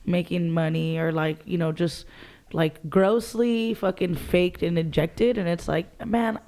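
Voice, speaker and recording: A young woman co-hosting a casual podcast chat, blunt, profane and quick to laugh. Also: on the low side, fairly even intonation, warm tone. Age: young adult. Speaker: podcast co-host.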